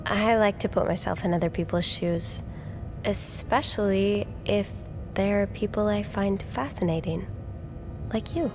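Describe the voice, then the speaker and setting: An earnest young hotel maid with a sleuth's curiosity , voiced by an actress for a narrative game. Her voice sits at a middle pitch and she speaks gently and thoughtfully. Music plays clearly behind her. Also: a little slow, close to an everyday voice. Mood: earnest, kind. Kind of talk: naturalistic video game voice acting.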